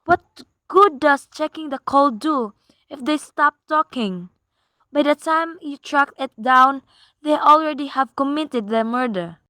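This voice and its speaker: Radio drama role: nervous, insistent invalid wife female actor, high pitched and sharp-toned. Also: unhurried, no room sound, poor audio. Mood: indignant, insistent. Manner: fretful, insistent. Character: anxious, demanding, bedridden married woman phoning police. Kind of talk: radio play acting.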